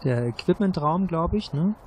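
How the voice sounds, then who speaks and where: A man's voice: fairly high pitch, animated, normal speed, darkish tone, slight background noise. A man playing as a contestant, politely making suggestions in casual game discussion.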